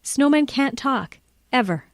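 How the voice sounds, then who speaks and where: A woman playing a caring, practical mother with a gentle, reassuring manner in a children's audio drama. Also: high-pitched, lively intonation, unhurried, music in the background. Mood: teasing, reassuring.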